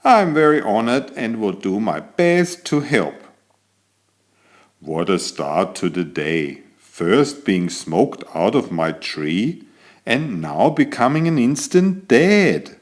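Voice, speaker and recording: A man's voice: on the low side, sing-song, slow. A man voicing an elegant, vain tomcat who chats theatrically to young listeners while telling a children's picture-book story. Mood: confiding. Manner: self-admiring, theatrical, addresses young listeners directly.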